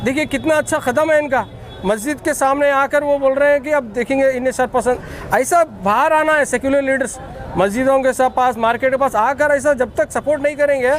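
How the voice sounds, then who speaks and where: A man's voice: very high-pitched, brisk, some chatter behind. A man with a Deccani Urdu accent making an emphatic, accusatory, repetitive impromptu political statement to the press. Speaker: political activist.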